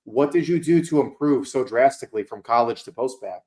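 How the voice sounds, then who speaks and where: A man runs a mock admissions interview and puts each question in a formal, deliberate way. His pitch sits in the middle. He sounds practiced at his role. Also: animated, darkish tone.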